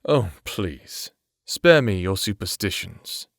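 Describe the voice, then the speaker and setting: A man playing a sardonic, world-weary private investigator in a fantasy noir audio drama, with a dry, formal, deadpan delivery. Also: fairly low pitch, slow, vocal fry, exaggerated voice. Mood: dry, unbothered. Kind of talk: noir audio drama acting with scripted monologue. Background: background music.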